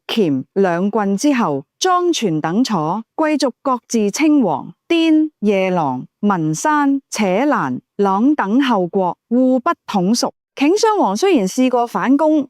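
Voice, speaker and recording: Woman narrator as learned young noblewoman, Cantonese, composed, didactic, fairly high pitch, lively intonation, audiobook dialogue. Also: no room sound, clean studio sound.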